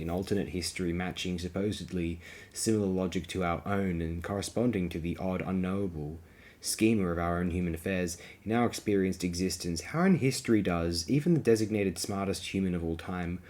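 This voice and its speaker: A man hosting a film review program, giving opinionated, digressive commentary in bookish phrasing with halting, self-interrupting asides. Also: sits low, darkish tone.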